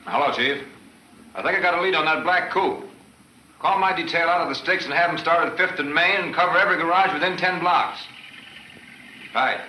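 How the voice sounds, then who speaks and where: An American actor playing a hard-nosed police detective in a 1940s film serial, terse and dry. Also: male voice, on the high side, fairly quick, pauses often, sharp tone, reverberant, a little hiss or hum, low-quality recording. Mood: urgent. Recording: old film soundtrack with music.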